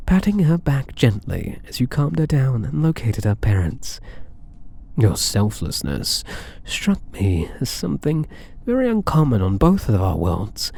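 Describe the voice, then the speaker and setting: A man's voice: medium pitch, vocal fry, mellow, full character voice. A British man playing a possessive, flirtatious alien catboy thief in an intimate ASMR roleplay, teasing and doting. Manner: teasing, doting, purring endearments, unhurried.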